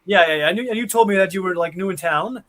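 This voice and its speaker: A man from Iceland, a podcast guest, chatting casually with quick, short replies. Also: high voice, fairly bright tone.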